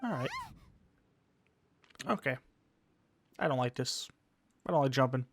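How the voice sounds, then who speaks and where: A man's voice: medium pitch, animated, a little slow, a little hiss or hum. Casual guy muttering through a playthrough. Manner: slangy, muttering reactions to himself. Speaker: gamer commentating his own playthrough. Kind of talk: casual let's play commentary.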